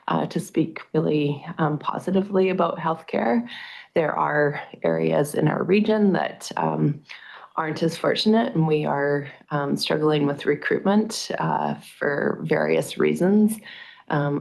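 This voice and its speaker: A Canadian woman presenting to a town council, hesitant with many pauses and ums. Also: deep voice, vocal fry, darkish tone, rough recording. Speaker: health organization presenter. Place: council chamber.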